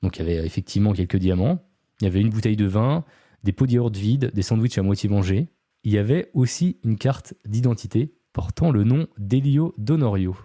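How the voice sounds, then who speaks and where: A man's voice: fairly low pitch, lively intonation, fairly quick, warm tone, dry recording, clean studio sound. A man hosting a podcast, telling a true-crime story casually, with many fillers and chatty asides to his co-host.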